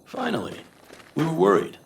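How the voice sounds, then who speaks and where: An actor playing a steady, protective nomad man in a video game, plainspoken and reassuring. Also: a little high, moderate pace, gravelly, a little hiss or hum, exaggerated voice. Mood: concerned. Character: steady, kindly male nomad veteran, protective. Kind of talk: naturalistic video game acting.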